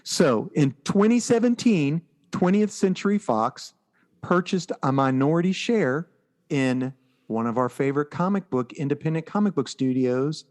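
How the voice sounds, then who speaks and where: A man's voice: a little high, lively intonation, moderate pace, a little mellow. An American man co-hosting a podcast, chatting casually and with enthusiasm about pop culture. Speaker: podcast co-host.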